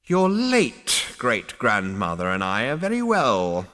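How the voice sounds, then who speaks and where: A man voicing a tiny, ancient two-headed male ghost who snaps and scolds in a rasping, screechy voice. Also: medium pitch, wildly swooping pitch, slow pace, bright, forceful tone, in a large hall, exaggerated voice. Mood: contemptuous. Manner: snappish, disdainful scolding.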